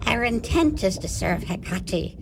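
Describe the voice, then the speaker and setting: A woman playing a stern, scornful witch in an amateur radio drama, creaky and theatrical, as if chanting spells. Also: mid-range voice, lively intonation, measured pace. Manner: theatrical, incantatory. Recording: clear storm-like background noise.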